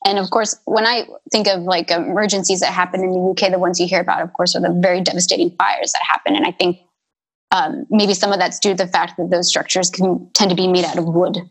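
A woman's voice: lowish voice, flat delivery, fast talker, husky, poor audio. A woman hosting a casual panel discussion, putting questions to the guests. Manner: conversational, asks the panel questions.

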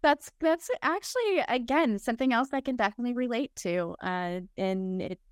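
A woman's voice: fairly high pitch, expressive, normal speed, smooth, no echo, studio quality. A woman hosting a podcast interview over a video call. She is appreciative and talks casually, with fillers and restarts. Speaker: podcast host.

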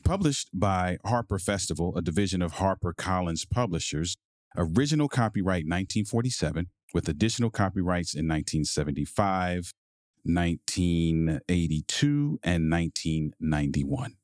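A man hosting a podcast and reading a children's picture book aloud in a measured, deliberate bedtime-story delivery. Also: low-pitched, animated, dry recording, studio quality.

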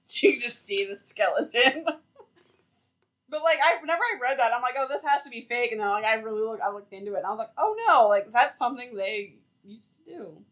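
A woman's voice: a little high, animated, a little fast, a bit bright. A woman co-hosting a podcast, telling a story in casual chat, with lots of "like" fillers and frequent laughter. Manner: chatty, laughs often, many "like" fillers. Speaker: podcast co-host.

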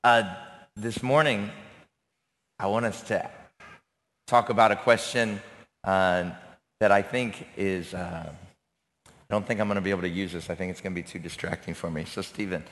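A man from America, a church elder, preaching a sermon with a raspy, hoarse voice and earnest, searching pauses. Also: deep voice, steady tone, fairly bright tone. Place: church service. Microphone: handheld microphone.

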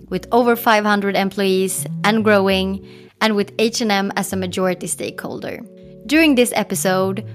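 A woman hosting a podcast, reading a smooth, upbeat scripted intro and then chatting in a friendly way with her guest. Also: mid-range voice. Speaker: podcast host. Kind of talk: scripted intro and casual interview.

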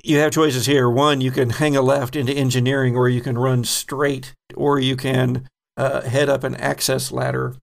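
A man's voice: mid-range voice, little pitch movement, normal speed, creaky. A man narrating as game master of a horror roleplaying game, conversational and descriptive as he improvises.

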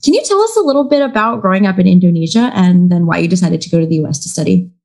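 A woman from Texas hosting a podcast interview, asking questions in a friendly, measured way. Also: fairly low pitch, lively intonation, a little mellow. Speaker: podcast host.

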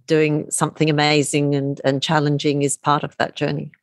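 Low-voiced Australian woman. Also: monotone, moderate pace, no echo, studio quality. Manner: thoughtful, many fillers like "you know". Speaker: guest, meditation teacher. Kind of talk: podcast interview.

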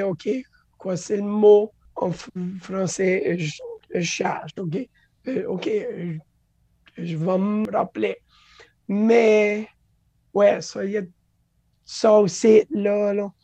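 Slow, halting woman. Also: medium pitch, flat delivery, warm tone. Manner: halting, restarts words, mixes English into French, laughs often. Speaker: guest. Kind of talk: casual interview talk.

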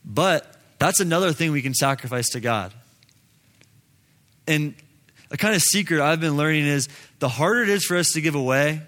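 Male preacher, fairly high-pitched, informal and earnest, talking to a congregation like friends. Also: fairly bright tone. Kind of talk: casual sermon to a congregation.

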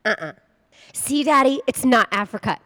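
A woman playing an excitable, spoiled little girl in a radio play, with drawn-out childish exclamations. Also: a little high. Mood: childishly eager. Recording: long reverb, music behind.

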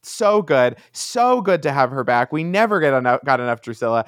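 A man talks as a podcast co-host in a casual, enthusiastic way. His voice sits at a middling to lowish pitch for a man. The sound is dry and close, with no room on it. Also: big pitch swings, a little fast, fairly bright tone, no echo. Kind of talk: casual fan discussion.

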